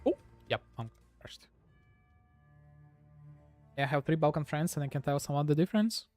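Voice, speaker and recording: A man's voice: on the high side, expressive, normal speed, darkish tone, soft background music. A man from Russia with a Russian accent, a gaming streamer chatting casually with viewers in a rambling way, full of "like" fillers. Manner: rambling, heavy use of "like" fillers.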